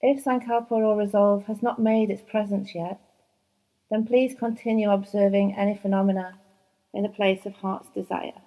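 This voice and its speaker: Woman, meditation guide, mellow soft voice, even tone, slow and calm. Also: medium pitch, poor audio. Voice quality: soft and soothing. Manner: slow, unhurried, with long pauses. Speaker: yoga nidra teacher. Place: yoga training course.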